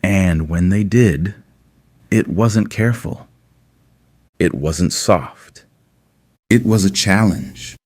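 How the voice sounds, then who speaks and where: A man with a deep voice narrates an intimate romance story. His delivery is slow and measured, with deliberate phrasing and dramatic pauses between short phrases. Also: a little mellow.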